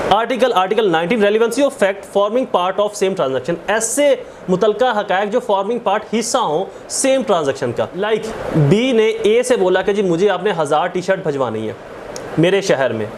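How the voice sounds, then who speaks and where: A high-voiced man with a Pakistani accent teaches law. He explains through worked examples, repeating himself and posing questions he then answers. English legal terms are mixed into his speech, and a faint background noise sits under the recording. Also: darkish tone. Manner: didactic, repeats points and asks rhetorical questions. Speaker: law lecturer.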